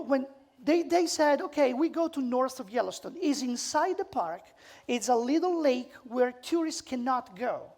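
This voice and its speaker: A woman with an Italian accent presents a seminar in a fairly high voice with very heavy creak. She tells her stories informally, leaning on repeated words for emphasis. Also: a little mellow. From Italy. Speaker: photographer, seminar speaker. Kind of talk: live seminar storytelling.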